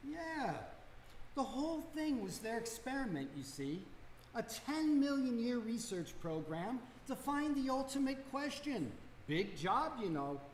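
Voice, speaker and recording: A woman's voice: fairly high pitch, big pitch swings, measured pace. A woman playing a mild, proud old planet builder in a radio play performed live, chatty and understated with trailing asides. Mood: unflappable. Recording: echoey room, clear background noise.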